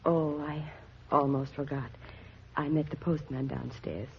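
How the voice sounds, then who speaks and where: An actor playing a kind, concerned neighbor in a 1940s radio drama, gentle and solicitous. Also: male voice, a little high, fairly even intonation, measured pace, creaky, slightly rough, heavily acted. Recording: muffled, noisy vintage radio recording.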